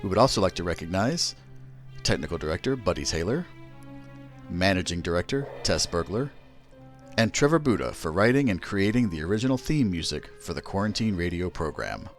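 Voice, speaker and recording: A man's voice: deep voice, very animated, fairly quick. A man reading a scripted radio broadcast announcement as the announcer. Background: music.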